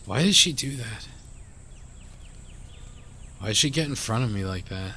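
A brash, animated outlaw. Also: male voice, on the low side, measured pace, slight background noise, heavily acted. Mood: baffled. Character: brash, cynical, foul-mouthed male outlaw. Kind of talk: audio drama acting. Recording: clear outdoor ambience behind.

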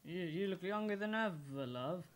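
A young man playing a tipsy, flattering old dinner guest with a stuttering, folksy manner in an amateur radio play. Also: high-pitched, moderate pace, slight background noise. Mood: jovial, flattering. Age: young adult.

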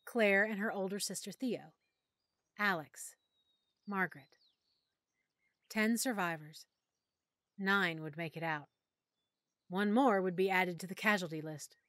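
A woman narrating a first-person audiobook in a soft, emotional storytelling manner. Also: mid-range voice, unhurried, long pauses, dry recording, studio quality.

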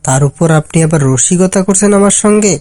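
A man's voice: sits high, brisk, warm tone, lightly acted. A man voicing a dejected, educated, jobless young village man in a cartoon folk tale, with a plaintive, earnest delivery. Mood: surprised, hopeful.

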